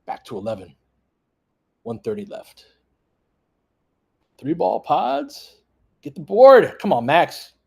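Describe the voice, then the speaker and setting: A man's voice: fairly high pitch, wildly swooping pitch, slow pace, gravelly, long pauses, husky. A man hosting a fan livestream, giving off-the-cuff, conversational play-by-play of a basketball game and chatting with listeners. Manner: conversational, off-the-cuff, talking in short clipped bursts. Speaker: sports livestream host doing fan play-by-play. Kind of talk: casual running basketball commentary, chatting with listeners.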